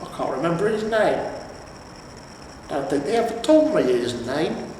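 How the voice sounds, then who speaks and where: A man's voice: high voice, very animated, moderate pace, a little mellow, pitched above the performer's own voice. A man voicing an aging, world-weary barbarian hero in a storybook, with a wistful, hesitant, plain-spoken manner. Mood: vague nostalgia. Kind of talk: storybook character reading. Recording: echoey reverberant room, distant, faint hiss.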